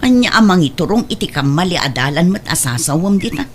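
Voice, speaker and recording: A woman's voice: on the low side, big pitch swings, brisk, slight background noise. A woman playing a defensive, exasperated wife and indulgent mother in an audio drama, speaking in a complaining manner.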